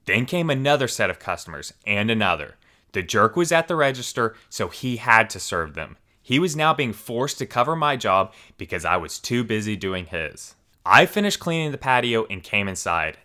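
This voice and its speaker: Bright-voiced man reading stories aloud. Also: lowish voice. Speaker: story channel host.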